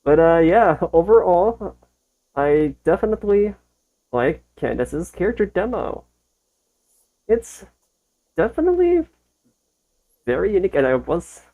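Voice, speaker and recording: A woman's voice: deep voice, dark tone, low-quality recording. A woman hosting a reaction video with casual, amused commentary and hesitant musing. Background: faint music.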